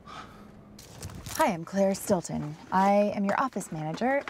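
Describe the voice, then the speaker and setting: An actress playing an eager, awkward new office manager, polite and hesitant, in naturalistic screen acting. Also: female voice, mid-range voice, lively intonation, slow pace, a little mellow. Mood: nervous, polite. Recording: very noisy background.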